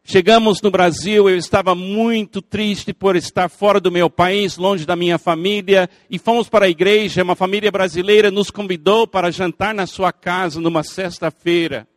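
An older man with a native English speaker's lilt delivers an earnest sermon, building intensity through repeated phrases. His voice sits high for a man and sounds close and dry, with no room around it. He breaks in with playful asides to the listeners. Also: steady tone, normal speed, hardly any pauses, no echo. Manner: earnest preaching with emphatic repetition and rising intensity. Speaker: pastor.

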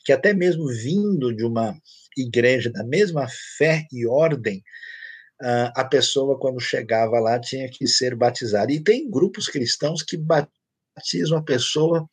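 A man's voice: medium pitch, expressive, a little slow, breathy edge, no echo. A man with a Brazilian Portuguese accent, a pastor answering questions in a church teaching session, explaining conversationally with anecdotes.

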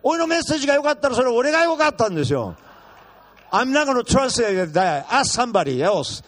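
Very high-voiced brash preacher man. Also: sing-song, fairly bright tone. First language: Japanese. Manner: blunt, brash and joking, switching fast between languages. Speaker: guest pastor. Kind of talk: bilingual sermon. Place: church.

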